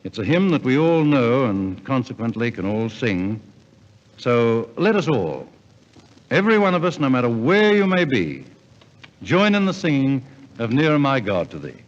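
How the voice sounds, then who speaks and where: Animated man, vintage radio compere. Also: medium pitch, moderate pace. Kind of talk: 1950s live radio variety announcing. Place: concert hall stage. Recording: muffled 1950s radio recording, audience sounds.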